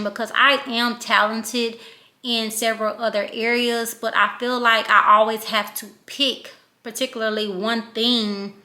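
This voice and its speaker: A woman's voice: mid-range voice, bright, forceful tone. A woman in her thirties from Louisiana with a country Southern accent, chatting reflectively in a casual vlog with plenty of ums. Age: 30s. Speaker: vlogger.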